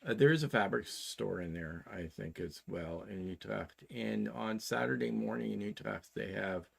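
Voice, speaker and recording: A man in his sixties with a Canadian accent, chatting casually as a show co-host and explaining plans in a halting, methodical way. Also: fairly low pitch, gravelly, breathy edge. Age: 60s.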